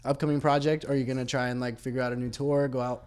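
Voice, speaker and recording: A man's voice: medium pitch, level tone, very fast, darkish tone, smooth. A male interviewer asking casual questions, often affirming with "right" and restarting his sentences.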